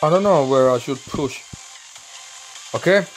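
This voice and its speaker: An excitable young man with a Finnish accent swears freely and thinks aloud while he talks over a mobile game recording. His pitch leaps up and down a lot, and his English is broken in places. Faint music plays under his voice. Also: unhurried, rough recording. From Finland. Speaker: gaming channel host.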